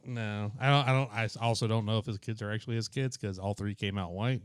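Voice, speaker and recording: A tipsy man rambles through casual podcast banter, crude and joking as he goes. His voice sits fairly low and is recorded close and dry. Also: steady tone, fast, smooth, no room sound. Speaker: podcast co-host. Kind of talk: casual chat.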